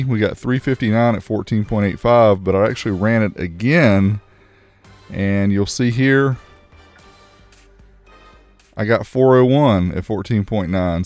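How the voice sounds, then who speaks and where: A man's voice: lowish voice, a little mellow. A man reviewing car audio gear, narrating a test in a matter-of-fact, casual, technical way.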